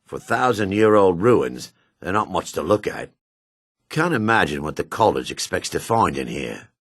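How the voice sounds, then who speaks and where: A husky, low-voiced male dark elf from a fantasy game. His clipped, gruff lines swing dramatically in pitch, and the sound is close and dry. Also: big pitch swings, moderate pace, no echo, exaggerated voice. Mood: weary, grumbling. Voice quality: raspy, husky. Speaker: video game voice actor.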